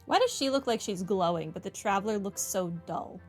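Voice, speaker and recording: A woman's voice: medium pitch, lively intonation, a little fast. A woman giving casual, offhand commentary as she plays through a video game. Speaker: gaming streamer. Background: game music.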